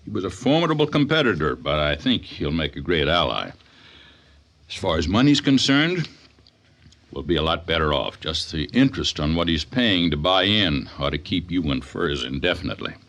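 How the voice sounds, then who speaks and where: A man's voice: low voice, sing-song, moderate pace, a bit bright, exaggerated voice. A man playing a genial middle-aged father and business owner in a radio drama. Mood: upbeat.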